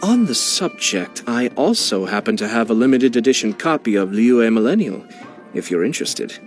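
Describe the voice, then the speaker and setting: A man's voice: mid-range voice, lightly acted. An actor playing a composed young nobleman who heads his clan, speaking in a polished, courteous way with dry amusement. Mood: wry teasing. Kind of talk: video game character voicelines.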